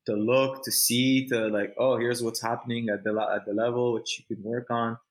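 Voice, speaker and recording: Canadian dude just chatting in an interview, regular pitch, slangy, says stuff twice to push the point. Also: male voice, level tone, normal speed. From Montreal, Canada. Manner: informal, repeats himself for emphasis, peppers talk with slang. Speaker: jiu-jitsu competitor, interview guest. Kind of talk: casual, unscripted interview chat.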